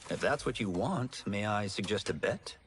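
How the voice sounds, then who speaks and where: A man playing a contented, level-headed young farmer in a video game, calm, reasonable and gently teasing. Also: on the low side, moderate pace, close to an everyday voice. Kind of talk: naturalistic video game acting. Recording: clear background noise.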